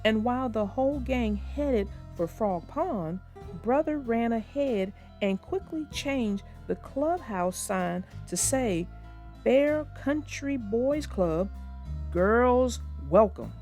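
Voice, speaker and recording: A woman reads and talks through a children's story in a fairly high, very animated voice. Her phrasing is emphatic and deliberate, and music plays behind her. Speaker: children's book narrator. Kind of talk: expressive storybook read-aloud with informal commentary.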